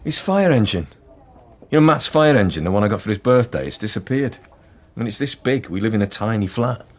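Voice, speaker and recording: A man's voice: fairly low pitch, expressive, a little fast. A man playing a harried, rambling police detective in a radio drama, droll and easily flustered. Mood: bewildered, dismayed.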